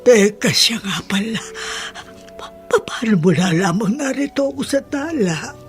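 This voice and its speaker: An actress playing a gentle, resigned older aunt in a Filipino radio drama, speaking in a halting, plaintive way. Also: female voice, a little high, expressive, soft background music. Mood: tearful, moved. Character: older aunt, cured former leprosy patient, gentle and resigned.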